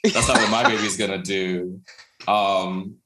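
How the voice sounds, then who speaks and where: Deep-voiced Brooklyn man in an echoey room. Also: steady tone, measured pace, background noise. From Brooklyn, New York. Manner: chatty, many fillers, laughs often. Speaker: guest, contemporary dancer. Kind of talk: casual interview storytelling.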